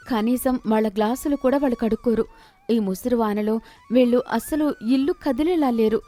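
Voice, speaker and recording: A woman's voice: a little high, warm tone, lightly acted. A woman voicing an exasperated, crafty young wife, whiny and pleading, in a dramatized moral story.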